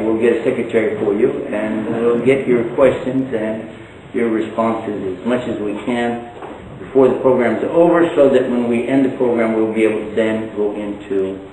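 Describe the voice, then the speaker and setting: A man's voice: lowish voice, mellow, slight background noise. An older man from Texas with a Texan accent, giving a folksy religious lecture with joking asides. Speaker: Islamic preacher. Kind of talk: public lecture. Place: large hall, indoors. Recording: echoey hall from a distance.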